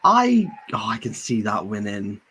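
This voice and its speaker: Lively, chatty livestream co-host. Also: mid-range voice, unhurried, breathy edge. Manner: joking, chatty. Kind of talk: casual live reaction chat.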